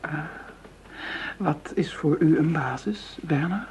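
An actress playing a cool, seductive dealer in shady money deals, poised and teasing, in a radio drama with some background noise. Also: female voice, deep voice, slow, darkish tone. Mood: poised, probing.

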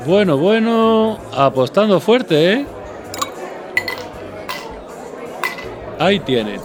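A man's voice: high-pitched, expressive, slow pace, crowd noise. An actor with a Spain accent playing a chatty, knowing barman in an audio drama, with a familiar, sly manner. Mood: teasing. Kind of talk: Spanish audio drama acting.

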